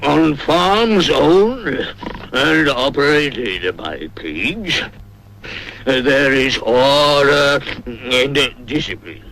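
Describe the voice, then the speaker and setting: An actor playing a tyrannical, pompous pig leader who speechifies in a 1950s animated film. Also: male voice, medium pitch, creaky, full character voice, low-quality recording. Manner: pompous, oratorical speech-making.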